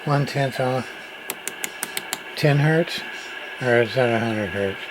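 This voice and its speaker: A man's voice: medium pitch, takes it slowly, noisy background. A man testing and repairing an old radio, muttering test calls and meter readings in casual workbench commentary.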